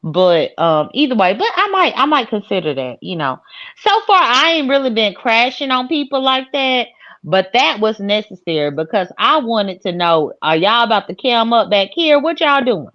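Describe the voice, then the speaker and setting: A woman's voice: a little high, very animated, moderate pace. Gossipy woman guest on lo-fi stream audio. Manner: playful, gossipy, cursing. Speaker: guest on a livestream. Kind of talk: casual live-stream chat.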